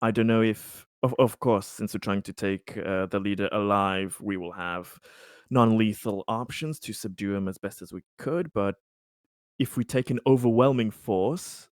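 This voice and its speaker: A man speaks as a player in a tabletop roleplaying game. His voice is low and dry in the recording, and he improvises in careful, formal phrases with many halts. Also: dry recording. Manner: deliberate, halting, formal wording. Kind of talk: in-character improvised game dialogue.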